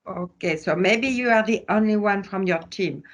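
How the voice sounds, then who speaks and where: A woman's voice: medium pitch, moderate pace. A woman from France with a French accent, teaching an online workshop over a video call, laughing often and hesitating with fillers.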